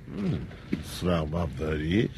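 An actor playing a drunken, reckless officer in a radio drama, bullying and halting, repeating himself with a slur. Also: male voice, extremely low pitch, sing-song, exaggerated voice. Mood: drunkenly bossy. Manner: slurred, halting, repetitive, drunk. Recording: noisy battlefield ambience behind.

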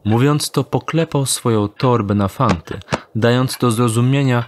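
A man narrating an audio drama in a measured, descriptive way. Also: medium pitch, slight background noise.

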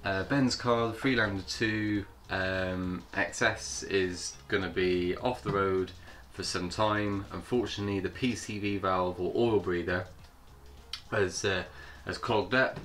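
A man with a cold, sounding hoarse, hosting his vlog in a chatty, hesitant way. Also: sits low, fairly even intonation, a little slow, a bit bright. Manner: chatty, hesitant with many fillers. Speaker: channel host. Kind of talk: casual vlog intro.

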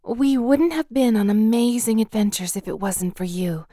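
Woman as a mellow, polite young cat. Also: a little high, normal speed, no echo, studio quality, natural voice. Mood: polite, warm. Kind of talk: fan audio drama acting.

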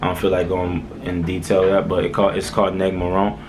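A man's voice: deep voice, monotone, a little fast, noisy background. A young man from Haiti with a light Haitian accent, talking casually to camera in a small room, loose and rambling with lots of "um"s and "all right"s. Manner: laid-back, rambling, many "um"s and "all right"s. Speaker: vlogger. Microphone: camera close in front.

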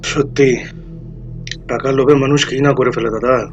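A man's voice: medium pitch, fairly even intonation, normal speed. A man hosting a call, reacting casually as he listens. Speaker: host taking a call. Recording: dull lo-fi audio with music underneath. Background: music.

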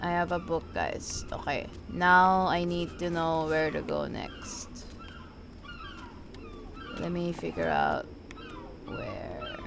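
A woman's voice: on the low side, huge pitch swings, slow, long pauses, background noise. A woman doing casual let's-play commentary, sweary and exclamatory, talking half to herself as she plays. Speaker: gaming video creator. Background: video game ambience sounds.

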